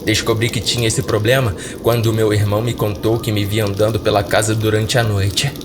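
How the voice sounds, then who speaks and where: A man's voice: fairly low pitch, flat delivery, fairly quick. A man with a Brazilian accent narrating scripted horror stories as a podcast host. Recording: loud background music. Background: music.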